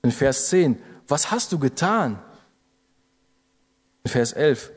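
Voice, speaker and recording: A man's voice: medium pitch, sing-song, takes it slowly, breathy edge, in a large hall. A man preaching an earnest sermon to a congregation, building to urgent exhortations. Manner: earnest exhortation with rising emphasis.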